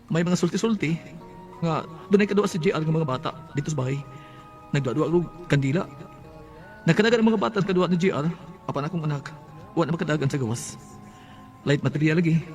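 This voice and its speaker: Radio drama role: working single dad, Cebuano accent, anguished, higher-set male voice actor. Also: a little mellow, close to an everyday voice. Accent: Cebuano Filipino. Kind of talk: Bisaya radio drama acting.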